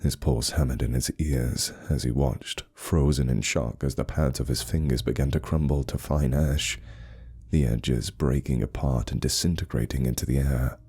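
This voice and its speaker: Male narrator for scripted horror: extremely low pitch, dark mellow tone, dramatic delivery. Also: steady tone, moderate pace, husky.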